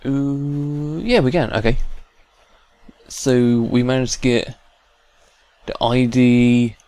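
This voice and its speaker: A man's voice: mid-range voice, fairly even intonation, takes it slowly, darkish tone, no echo. A man with a British accent giving casual commentary while playing a video game. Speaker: gaming video host. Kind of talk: casual let's play commentary.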